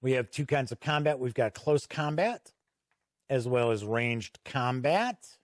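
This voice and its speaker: A man's voice: medium pitch, lively intonation, a little slow, no room sound, studio quality. A middle-aged man giving a casual, conversational review commentary that explains game rules.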